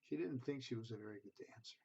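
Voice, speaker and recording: A man reading a children's story aloud in an expressive, deliberate way, speaking directly to his listeners. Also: mid-range voice, gravelly, highs cut off, noisy background.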